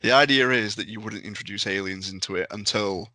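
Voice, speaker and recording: Male podcast panelist, lowish bright voice, casual chat, close dry sound. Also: fairly quick, no room sound. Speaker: podcast panelist and game master.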